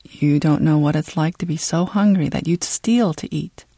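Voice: mid-range voice, normal speed, dark tone, no echo, close to an everyday voice. A reader voicing stern, bitter immigrant father, dry close recording. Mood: bitter scolding. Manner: scolding, controlled. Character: Joseph, stern bitter Korean immigrant father, berating his daughter.

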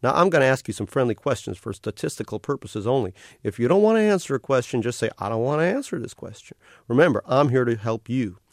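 A relentlessly cheerful electronic otter, pitched far up into a sing-song carnival patter and sitting louder than the story around it. He chirps every line like a friendly salesman, bright and bouncy, with wide swoops in pitch. The delivery is a storybook character voice. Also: sing-song, fast talker, no echo, clean studio sound. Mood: chirpy. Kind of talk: audiobook character voice.

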